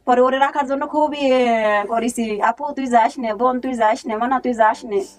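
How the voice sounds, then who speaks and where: A woman's voice: fairly high pitch, steady tone, moderate pace, darkish tone. A woman with a Bangladeshi accent telling a personal story as a guest. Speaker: guest, relative of another guest.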